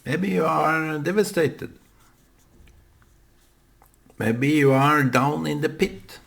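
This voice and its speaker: A man with a Norwegian accent muses aloud to the camera, a native Norwegian speaker working in English. He talks very slowly in a gravelly voice. He stops often, with many fillers and restarts. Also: fairly high pitch, fairly bright tone. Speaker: vlogger. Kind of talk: reflective solo monologue.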